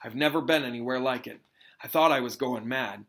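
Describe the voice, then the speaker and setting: A man's voice: mid-range voice, fairly quick, fairly bright tone, lightly acted. A man voicing a giant, tearful, despairing creature lover from a storybook, with choked, rustic speech. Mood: gloomy. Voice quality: choked, sobbing delivery. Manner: rustic, dropped-word folksy speech.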